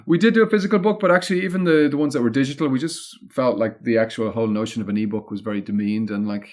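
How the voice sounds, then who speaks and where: A man's voice: mid-range voice, fairly even intonation, rapid-fire, darkish tone. An Irish man speaking as an interview guest, casually and discursively, thinking aloud as he goes. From Ireland. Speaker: podcast guest, content marketing consultant.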